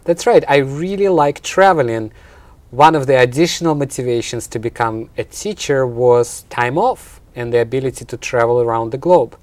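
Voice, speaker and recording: A man with a Russian accent gives explanatory answers as an interview guest. His voice sits at an average male pitch. The sound is dry, with hardly any room around it. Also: normal speed, no room sound. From Russia and Ukraine. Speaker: college business instructor, interview guest.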